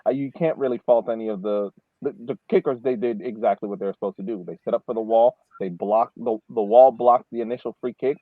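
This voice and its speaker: A man's voice: medium pitch, quick pace, no echo, phone-quality sound. A man co-hosting a sports podcast, chatting casually about soccer and often repeating words as he starts his sentences. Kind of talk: casual soccer analysis chat.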